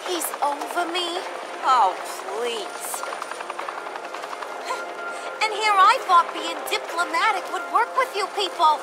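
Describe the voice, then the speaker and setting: A woman's voice: very high-pitched, lively intonation, takes it slowly, long pauses, over music, a crowd in the background, exaggerated voice. A woman playing a brash, cocky criminal clown turned agent in a video game, mocking and theatrical in her taunts. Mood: taunting. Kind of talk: video game character acting.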